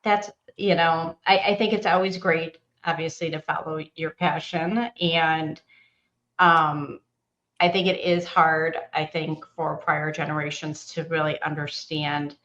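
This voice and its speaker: Chatty woman host who rambles a bit, slightly low voice, muffled lo-fi line. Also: little pitch movement, measured pace, fairly bright tone. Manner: chatty, rambling, laughs easily. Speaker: host, company art director. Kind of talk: casual interview.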